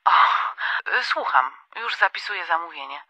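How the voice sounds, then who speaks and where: A woman's voice: lowish voice, animated, normal speed, pushed and bright, dry recording, highs cut off, exaggerated voice. An actress playing a friendly, eager, polite Polish woman in a radio drama, heard through a telephone filter. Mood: businesslike. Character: friendly, eager Polish woman taking a restaurant order, former interviewer. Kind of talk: naturalistic radio drama acting.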